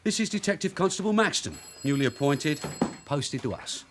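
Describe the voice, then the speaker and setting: A man's voice: fairly high pitch, very animated, normal speed, background noise. A man playing a streetwise, long-serving detective sergeant in a radio drama, offhand and wry. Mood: wry, matter-of-fact. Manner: offhand, wry, matter-of-fact. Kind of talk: British radio drama acting.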